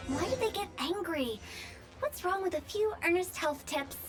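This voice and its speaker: Game role: a teasing young undertaker girl, very high and light, over game music. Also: animated, measured pace. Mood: playful. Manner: playful and teasing with a macabre streak. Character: mischievous young woman funeral parlor director. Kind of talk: video game voice acting.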